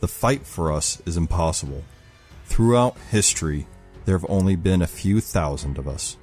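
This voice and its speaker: Grave, commanding leader, voiced by a male narrator: low-pitched, formal oratory over faint music. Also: moderate pace, darkish tone, close to an everyday voice. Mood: solemn, resolute.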